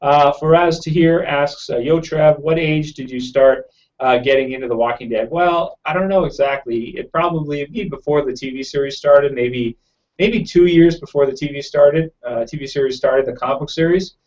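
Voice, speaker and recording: A man hosting a casual live chat from home, answering viewer questions quickly with lots of fillers, his throat a bit raw. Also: fairly high pitch, a little mellow, highs cut off. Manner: fast-talking, rambling with many fillers. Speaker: YouTube channel host.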